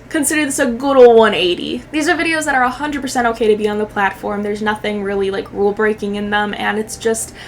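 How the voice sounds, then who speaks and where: Young woman, high voice, sardonic chatty commentary, casual swearing, faint background noise. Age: 20s. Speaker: YouTube commentary host.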